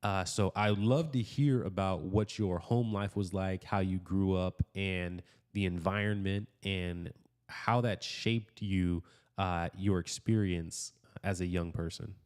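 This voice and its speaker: A man's voice: sits low, steady tone, slow, a little mellow, clean tone. A man hosting a podcast, upbeat and given to repeating affirmations as he introduces and questions his guest. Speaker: podcast host. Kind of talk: podcast interview.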